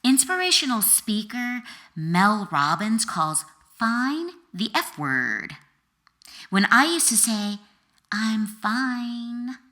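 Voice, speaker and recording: A woman author reading her own memoir as an expressive audiobook narrator, with a dramatic, deliberate delivery and emphatic pauses. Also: lowish voice.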